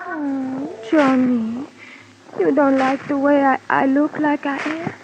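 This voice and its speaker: An actress playing a gentle, anxious young island woman who speaks simple broken English in an old-time radio drama. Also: sits high, fairly even intonation, slow. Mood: shy, hurt. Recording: muffled, noisy old radio recording.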